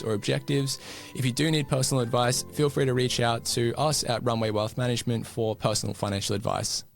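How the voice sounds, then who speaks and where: An Australian man with a gravelly, creaky edge to his mid-pitched voice hosts a finance podcast. He talks in a friendly, easygoing way, saying "mate" and restarting his sentences. The room gives his voice a noticeable echo. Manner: friendly, relaxed, with fillers and restarts when off script. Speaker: podcast host and financial advisor. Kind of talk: scripted intro then casual interview. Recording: roomy echo.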